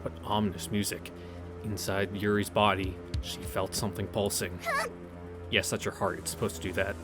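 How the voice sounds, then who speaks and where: A man's voice: lowish voice, flat delivery, moderate pace, loud music behind the voice. A young adult man giving casual, sardonic commentary and reading aloud as he plays a visual novel. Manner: sardonic, sweary, offhand. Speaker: game streamer reading through a visual novel.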